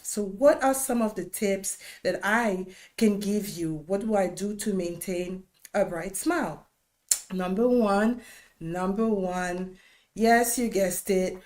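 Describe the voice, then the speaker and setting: A woman's voice: medium pitch, darkish tone. A woman with a Congolese accent, a dentist hosting an informal educational livestream, speaking conversationally and instructively and repeating key points for emphasis. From Democratic Republic of Congo. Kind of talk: informal educational talk to viewers.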